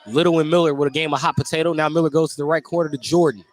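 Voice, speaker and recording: A man's voice: a little high, fairly even intonation, very fast. A man doing live play-by-play basketball commentary as a radio announcer.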